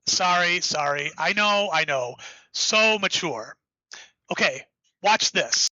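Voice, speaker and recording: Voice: medium pitch, expressive, slow pace, sharp tone, dry recording, muffled recording. An actor playing an enthusiastic, rambling writer who created the hero, in an audio drama. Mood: excited. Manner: enthusiastic, rambling, self-interrupting.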